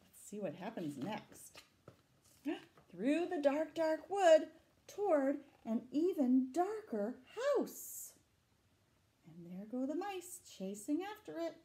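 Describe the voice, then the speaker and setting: A middle-aged woman reading a picture book aloud to children, dramatic and deliberate, adding asides and questions for her young listeners. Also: high voice, big pitch swings, mellow. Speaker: library storytime reader. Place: small room indoors. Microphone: camera a short distance away.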